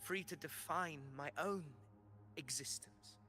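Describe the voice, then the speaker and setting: A man's voice: fairly high pitch, slow, sharp tone, soft background music. A man playing an earnest, brooding warrior hero in a video game, with formal, solemn and theatrical phrasing. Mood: brooding determination. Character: earnest brooding male warrior hero questioning his own existence. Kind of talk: dramatic video game voice acting.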